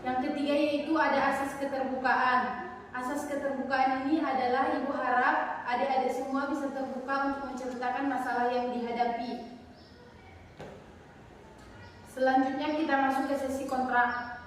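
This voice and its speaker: A woman with a high but steady, fairly even voice explains the session step by step in a calm, teacherly way. She sounds far from the mic in a bare, echoey room with a long reverb tail. A faint hiss sits under her voice. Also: normal speed, long pauses. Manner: calm, instructive, addresses group as "adik-adik". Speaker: student playing a group counselor. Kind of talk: role-played counseling session.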